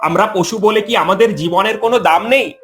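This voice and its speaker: A man plays a humble cartoon forest animal with a high voice. He talks earnestly and respectfully, almost pleading, over faint music. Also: fairly quick, a bit bright. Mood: upset. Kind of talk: animated moral story voice acting.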